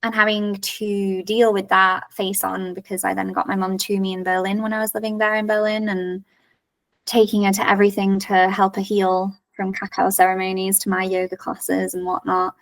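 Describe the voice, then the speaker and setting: A woman's voice: mid-range voice, little pitch movement, moderate pace, distant mic. A woman, a coach and yoga teacher, speaking as a podcast guest in a candid, frank way. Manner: candid, frank, laughs easily. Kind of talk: casual podcast conversation.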